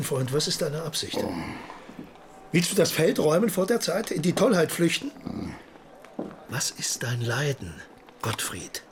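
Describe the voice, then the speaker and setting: Radio drama: commanding, impatient composer, theatrical and urgent, highish voice, very animated. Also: male voice, slight background noise. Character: Bach, impatient, commanding male composer driving his musician. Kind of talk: German radio drama acting.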